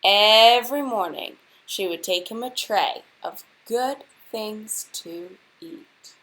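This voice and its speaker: A woman reading a children's storybook aloud like a teacher to a class, expressively and deliberately, putting questions straight to her young listeners. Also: medium pitch, sharp tone, low-quality recording.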